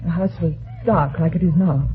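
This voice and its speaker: An actress playing a frightened, earnest widow in an old-time radio drama, speaking anxiously and hesitantly. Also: female voice, fairly low pitch, normal speed, slight background noise, lightly acted. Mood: shaken, explaining. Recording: thin, narrow-band old radio recording.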